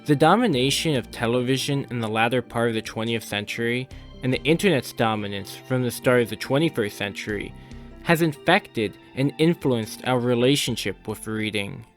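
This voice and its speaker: A man narrates a scripted video essay with an average to slightly deep voice. His delivery is measured, formal and articulate, with music playing underneath.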